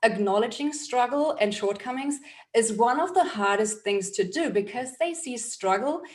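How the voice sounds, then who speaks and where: A woman's voice: on the high side, expressive, moderate pace. A woman hosting a podcast interview, affirming her guest and asking reflective questions. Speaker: podcast host, mindset coach. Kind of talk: conversational interview.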